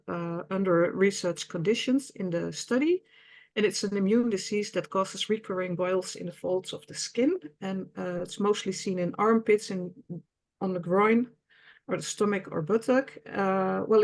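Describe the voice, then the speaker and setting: Dutch-accented woman in her fifties, hesitant. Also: mid-range voice. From Netherlands. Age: 50s. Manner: hesitant, many fillers and restarts. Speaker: patient representative. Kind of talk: online webinar presentation.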